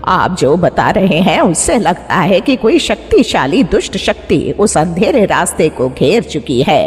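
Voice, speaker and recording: A woman's voice: lowish voice, very animated, measured pace, darkish tone. A woman voicing a solemn, commanding sorceress in a cartoon, with a long reverb on the recording. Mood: commanding, resolute. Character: commanding sorceress aunt chanting spells in a cartoon. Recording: long reverb, music behind.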